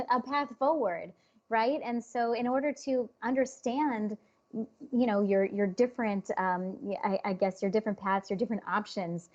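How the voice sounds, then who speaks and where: A woman guest in an interview who answers thoughtfully, halting and restarting her sentences. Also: fairly high pitch, a little mellow. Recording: dull lo-fi sound.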